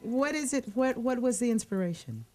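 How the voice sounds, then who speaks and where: Lively-voiced woman radio host. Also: on the high side, crowd noise. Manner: chatty, playful, quick to laugh and interject. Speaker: radio talk show host. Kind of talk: casual radio interview. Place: radio studio. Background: music bed.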